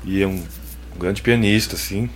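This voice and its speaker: A man's voice: low voice, steady tone, normal speed, soft background music. A man with a Brazilian Portuguese accent, a musician being interviewed, talking loosely and tagging his sentences with fillers. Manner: rambling, full of filler tags. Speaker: guest musician. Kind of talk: casual interview chat.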